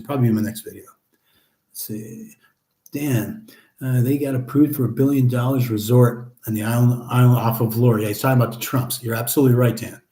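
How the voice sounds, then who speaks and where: Middle-aged man, casual live-chat host, mellow dark voice, relaxed, echoey room. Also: mid-range voice. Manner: relaxed, chatty, reads viewer comments aloud and replies. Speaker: livestream host answering viewer chat.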